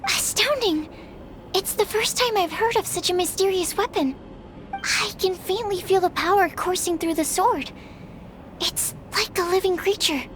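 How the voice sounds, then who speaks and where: A woman's voice: extremely high pitch, measured pace, fairly bright tone, slight background noise. A woman voicing a polite, earnest, shy young female alchemist in a video game, precise and formal. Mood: fascinated, earnest.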